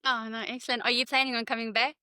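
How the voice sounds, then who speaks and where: Bright-voiced woman interviewer, dry sound. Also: on the high side, little pitch movement, fast talker, smooth, no echo. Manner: friendly, reading prepared questions. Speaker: interviewer host. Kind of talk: question-and-answer interview.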